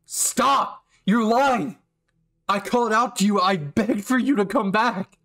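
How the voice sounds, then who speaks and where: A man acts out an unhinged young male anime villain. He is bitter and sure of his righteous cause. He speaks very slowly, with menacing, taunting pauses, in a slightly high voice. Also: fairly bright tone, exaggerated voice. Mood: anguished. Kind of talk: anime fan ASMR roleplay acting.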